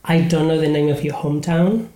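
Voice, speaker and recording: A man with a British accent hosting a casual podcast interview, talking in a halting, self-deprecating way with many restarts. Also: on the high side, fairly even intonation, dark tone, roomy sound, a little hiss or hum. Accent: British English. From Spain. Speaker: podcast host.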